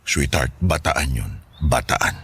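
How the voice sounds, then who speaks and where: Deep-voiced teasing Filipino father. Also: slow pace, fairly bright tone, breathy edge, no echo, heavily acted. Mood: fatherly advice. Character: teasing, strict, protective middle-aged father. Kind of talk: Filipino radio drama acting.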